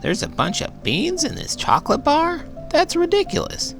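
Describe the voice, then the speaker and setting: A man voicing an excitable, cheeky little bookworm from a children's storybook, exclaiming in a silly, incredulous way. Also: very high-pitched, moderate pace, creaky, music in the background, pitched above the performer's own voice, heavily acted. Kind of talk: cartoonish children's storybook character voice.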